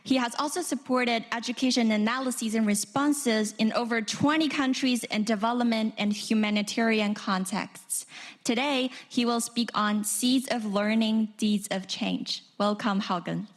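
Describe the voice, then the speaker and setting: A woman hosting an event, reading a formal speaker introduction in a clear, scripted delivery. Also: on the high side, a little slow. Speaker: event host.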